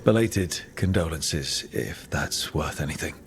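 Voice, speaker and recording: An actor playing a laid-back, sympathetic young mage adventurer in a video game, talking in a casual, understated way. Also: male voice, a bass voice, unhurried, a little hiss or hum. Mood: gentle, curious.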